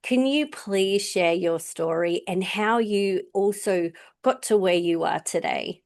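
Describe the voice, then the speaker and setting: A woman hosting a podcast interview speaks supportively, affirming her guest often and using soft filler words as she reflects on what she hears. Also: mid-range voice, takes it slowly. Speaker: podcast host. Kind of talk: empathetic interview conversation.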